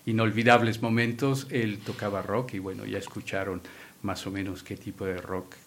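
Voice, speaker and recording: A man's voice: lowish voice, fairly quick, a little hiss or hum. A man hosting an interview, switching languages as he introduces his guest and asks questions. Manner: switches languages mid-sentence, lively. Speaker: interviewer and host.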